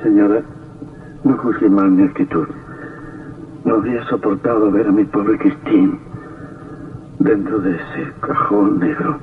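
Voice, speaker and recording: Gravelly, earnest young gentleman suitor. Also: fairly low pitch, unhurried, long pauses, slight background noise. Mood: defensive, earnest. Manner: melodramatic, formal. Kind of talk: vintage radio drama acting. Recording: tinny, distant old radio with music.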